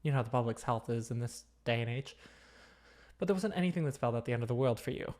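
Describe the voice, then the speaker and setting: A performer with a mid-low voice voicing an obsessive, dominant vampire lab technician in an intimate, chatty ASMR roleplay. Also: animated, fast, a little mellow, lightly acted. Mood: casually teasing.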